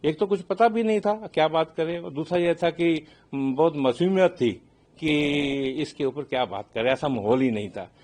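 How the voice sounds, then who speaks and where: An older man with an Indian accent giving expert commentary in a news interview, speaking in a measured, halting, explanatory way and mixing in English phrases. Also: a little high, a little mellow. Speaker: aviation consultant and expert commentator.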